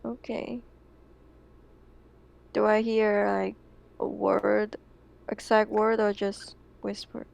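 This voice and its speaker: Casting: woman with a flat, unhurried delivery, a player in a tabletop game. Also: medium pitch, gravelly. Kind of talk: playing a tabletop roleplaying game.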